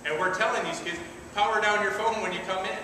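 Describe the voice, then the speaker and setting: A man's voice: high-pitched, steady tone, fast talker, pushed and bright. A man, a school administrator, earnestly answering a parent's questions, repeating words as he goes. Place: large school cafeteria. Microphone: far camera. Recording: echoey hall from far off, background noise.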